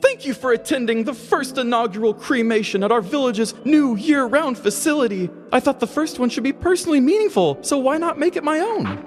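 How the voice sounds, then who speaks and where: High-pitched, theatrical village man acted by a man. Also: big pitch swings, fairly quick, hardly any pauses, faint music behind. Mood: showy. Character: theatrical grieving village man, melodramatic and flippant. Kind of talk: comedic audio story acting.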